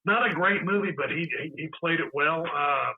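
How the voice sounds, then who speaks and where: A raspy-voiced man chatting excitedly on a casual podcast, repeating words as he rushes on. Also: fairly high pitch, a little hiss or hum. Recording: tinny phone-line sound.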